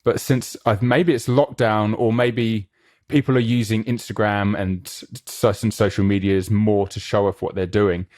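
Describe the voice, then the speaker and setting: A man's voice: lowish voice, steady tone, moderate pace, darkish tone, no echo, clean studio sound. A man hosting a podcast, introducing his guest and asking eager, chatty questions. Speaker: podcast host. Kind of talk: conversational interview.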